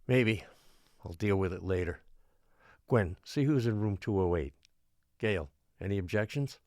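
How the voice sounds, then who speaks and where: A beleaguered mayor of a small island in an audio drama. His voice is low and closely recorded, with little room sound. He speaks formally and with care, trying to keep frightened people calm. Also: no room sound, clean studio sound. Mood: brisk, curt. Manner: measured, formal, placating. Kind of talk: audio drama acting, public address.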